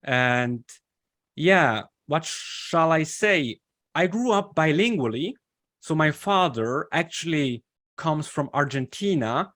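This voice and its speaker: A young man with a Swiss German accent, a guest in an interview who explains his background haltingly, with pauses and restarts. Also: on the high side, animated, fairly bright tone. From German-speaking Switzerland. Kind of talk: casual interview talk.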